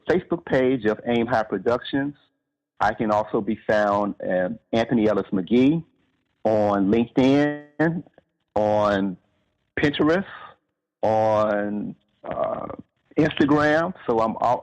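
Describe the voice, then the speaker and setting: A man answering interview questions as a guest author, explaining in measured, conversational phrases with pauses. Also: fairly low pitch, highs cut off.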